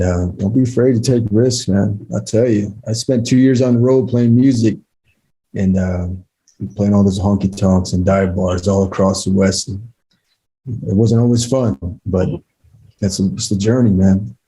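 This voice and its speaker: A man's voice: on the low side, steady tone, moderate pace, mellow, slightly rough, rough recording. A middle-aged man giving informal, encouraging closing remarks in a folksy, plainspoken way on a video call. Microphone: online video call.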